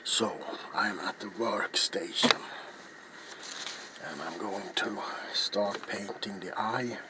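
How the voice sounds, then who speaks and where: A middle-aged man narrating a craft in a soft-spoken, hesitant ASMR style that slips into whispers. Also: lowish voice, slow pace. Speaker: ASMR video maker. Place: small cluttered indoor home workroom. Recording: clear background room noise.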